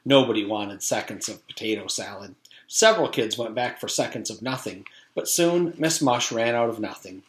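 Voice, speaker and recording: A man's voice: lowish voice, expressive, normal speed. Echoey man reading a storybook aloud. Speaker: teacher reading aloud to a class. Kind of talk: storybook read-aloud narration. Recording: echoey room.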